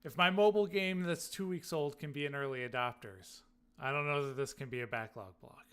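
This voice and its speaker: A man's voice: a little high, a little fast, a bit bright, clean tone. A man co-hosting a podcast, raising objections in casual, contrarian banter. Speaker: podcast co-host.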